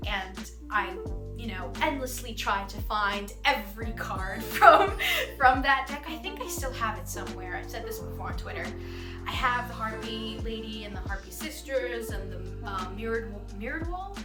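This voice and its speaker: Bubbly young voice actress chatting q&a, bit high voice, roomy echo w music playing. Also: female voice, expressive, normal speed. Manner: bubbly, giggly, self-deprecating. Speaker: voice actor answering fan questions. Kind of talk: casual vlog Q&A.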